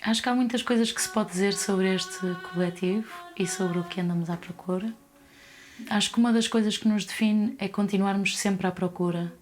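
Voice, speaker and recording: A woman from Porto speaks in an ordinary middle register during a documentary interview. Her delivery is calm and reflective as she considers each thought. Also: medium pitch. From Porto, Portugal. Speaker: member of a music collective.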